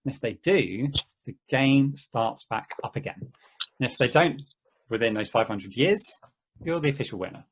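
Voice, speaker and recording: A man reading the part of an excitable, exasperated guy recounting mishaps in casual conversation at an amateur play table read. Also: medium pitch, moderate pace, slight background noise, close to an everyday voice, low-quality recording. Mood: matter-of-fact.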